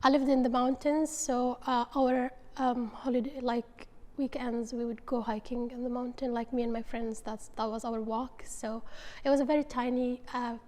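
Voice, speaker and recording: Interviewee: young woman from Yemen, high-ish voice, halting, searching for words, long reverb. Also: flat delivery, a little mellow. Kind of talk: casual interview answers.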